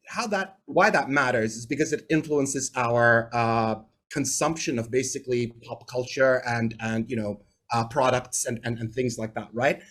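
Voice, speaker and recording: A man in his forties from Bahrain, a native English speaker, telling stories in a chatty, winding way during a video-call interview. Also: mid-range voice. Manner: chatty, winding, tags points with "right?". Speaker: guest. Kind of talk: casual interview storytelling.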